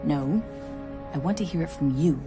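A woman's voice: low-pitched, normal speed. A tough, commanding female Marine sergeant. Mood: stern, challenging. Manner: commanding, blunt, wry. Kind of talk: military sci-fi motion comic acting. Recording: loud music bed behind the voice.

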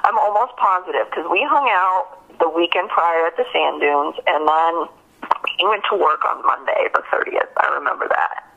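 A woman's voice: on the low side, moderate pace, creaky, sharp tone. A woman being interviewed over the phone, recounting casually and hesitantly with lots of filler words. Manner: hesitant, filler-heavy, frequent use of "like". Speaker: witness interviewed by an investigator. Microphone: telephone. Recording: dull, lo-fi phone call recording.

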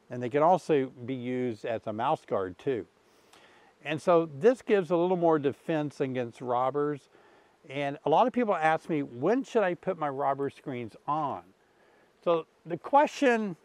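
A man's voice: fairly high pitch, dry recording. A man in his sixties hosting a beekeeping vlog outdoors by his hives, explaining in a friendly, easygoing way with fillers, on a lapel mic. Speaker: beekeeping channel host. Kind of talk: casual instructional vlog. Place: outdoors beside beehives.

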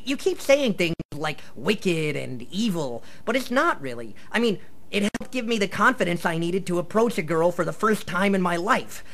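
A man's voice: sits high, very animated, normal speed, fairly bright tone, heavily acted. Guy doing a nerdy teen boy gamer, earnest, hesitant, kinda defensive, radio play. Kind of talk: live radio play acting.

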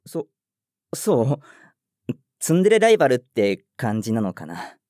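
A man's voice: mid-range voice, wildly swooping pitch, a little slow, mellow. An actor playing a timid, over-considerate young male office worker in a voice drama, hesitant and prone to stammering. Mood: awkward. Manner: hesitant, stammering when flustered. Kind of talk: Japanese voice drama, inner narration and dialogue.

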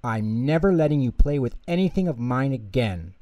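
A man's voice: mid-range voice, lively intonation, normal speed, dark tone, no room sound, muffled recording, pitched above the performer's own voice, lightly acted. A man voicing a young female bear cub in a children's storybook, hot-tempered, indignant and complaining. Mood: angry. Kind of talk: children's storybook character reading.

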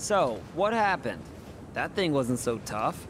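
A man's voice: mid-range voice, big pitch swings, takes it slowly, noisy background. A man voicing an earnest, idealistic young soldier hero in a video game, with a casual, open and emphatic manner. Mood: challenging, puzzled. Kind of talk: dramatic video game voice acting.

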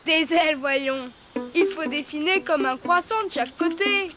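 A performer voicing a cheerful young rabbit boy in a preschool cartoon, lively and encouraging as they teach drawing to young viewers. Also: high voice, normal speed, loud music behind the voice, natural voice. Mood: instructive.

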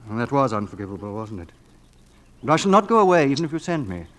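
An actor playing a brazen, flirtatious gentleman author, with a smooth, teasing manner in period radio drama style. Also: medium pitch, very animated, fast talker. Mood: ardent, flirtatious. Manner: smooth, teasing, mock-hesitant courtship.

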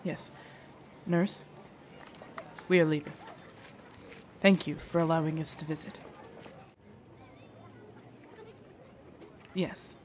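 A woman playing a loyal, protective female knight in an audio drama, formal, deferential and earnest, with a husky voice. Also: low-pitched, steady tone, unhurried, slight background noise. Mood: dutiful, gentle. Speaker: voice actor in audio roleplay. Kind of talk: acted immersive audio drama monologue.

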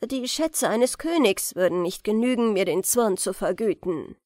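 A woman's voice: mid-range voice, expressive, moderate pace, a little mellow, dry recording, clean studio sound, natural voice. A woman voicing a grumpy old woman in a fairy-tale audiobook, curt and grumbling. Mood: displeased. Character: grumpy, unwilling old woman, secretive spinner.

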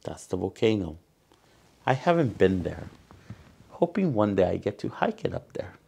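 Male storytime teacher, Salvadoran accent, low-pitched and unhurried, careful halting read of a picture book with friendly-aimed asides for kids. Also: creaky. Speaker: children's storytime teacher and host. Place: indoor room.

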